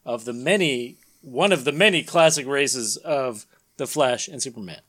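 A man's voice: mid-range voice, moderate pace, a bit bright, dry recording. A man hosting and narrating a comic book dramatic reading in a playful, theatrical way, slipping into casual chat. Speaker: podcast host and narrator. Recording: music behind the voice.

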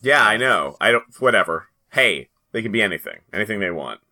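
A man's voice: lowish voice, expressive, fairly quick, bright, forceful tone. A man co-hosting in casual comic banter. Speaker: podcast co-host.

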